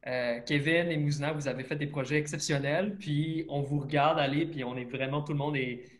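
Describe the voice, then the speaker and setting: A man's voice: fairly high pitch, steady tone, normal speed. Man moderating an online youth panel. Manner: friendly, fillers while framing questions. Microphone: video call.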